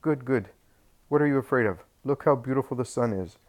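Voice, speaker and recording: A man voicing a calm, gentle male teacher in a scripture story. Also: mid-range voice, fairly quick, pitched above the performer's own voice, natural voice.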